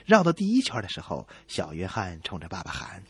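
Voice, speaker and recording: A man's voice: sits low, huge pitch swings, fairly quick, a bit bright, slightly rough, dry recording. A man co-hosting a comedy radio show, telling scripted jokes with playful, exaggerated reactions.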